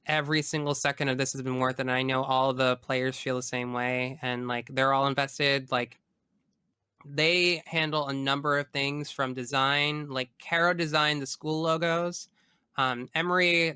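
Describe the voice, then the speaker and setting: A man talking casually and emphatically as a podcast guest, in an expressive, informal way. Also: mid-range voice, clear, resonant tone, no echo, clean studio sound. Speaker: podcast guest, tabletop game podcast creator. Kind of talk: casual interview chat.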